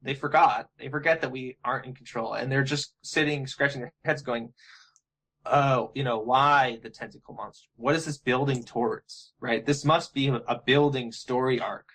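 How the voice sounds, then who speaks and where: A man's voice: medium pitch, steady tone, moderate pace, a bit bright, slightly rough. A man talking casually and off the cuff, in a bemused way. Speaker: web series co-creator. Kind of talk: casual unscripted discussion.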